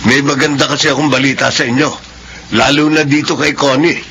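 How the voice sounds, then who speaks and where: An actor playing a middle-aged storekeeper and supportive family friend with a gravelly voice and Filipino accent in a radio drama. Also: male voice, medium pitch, little pitch movement, fairly quick, sharp tone, a little hiss or hum. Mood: breaking news. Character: kindly, indignant older male storekeeper and family friend.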